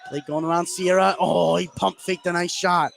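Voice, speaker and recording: A man with a fairly high-set voice works as a play-by-play commentator. He calls a live basketball game for radio, with light ambient noise of the gym behind him. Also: fairly even intonation, normal speed. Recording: clear background gym noise.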